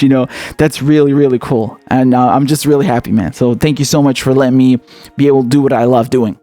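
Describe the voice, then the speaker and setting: A man's voice: mid-range voice, dark tone, no room sound. Earnest, grateful man with a dry sound. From Syria. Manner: earnest and grateful, casual slang. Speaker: independent political YouTube host. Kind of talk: casual thank-you talk to viewers.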